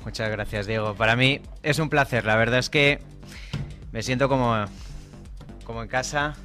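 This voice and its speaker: A man with a Spanish accent from Spain, speaking at an average pitch as a studio interview guest. He walks through explanations patiently and often stops to check that his listener is following. Faint music plays softly beneath his voice. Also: normal speed. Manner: patient, checks understanding with "¿de acuerdo?". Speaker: guest, communications director. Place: studio table.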